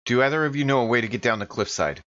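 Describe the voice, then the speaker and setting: A man's voice: mid-range voice, racing, a bit bright, highs cut off. A man playing a tabletop role-playing game, speaking earnestly and deliberating as he talks in character. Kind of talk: in-character roleplay and game talk.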